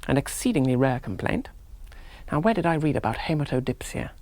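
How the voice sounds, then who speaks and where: An actor playing a rational, jaunty young English army gentleman in a radio drama, musing aloud in a clipped, wry English accent. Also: a little high, animated, fairly quick, dry recording. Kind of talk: 1970s radio drama monologue.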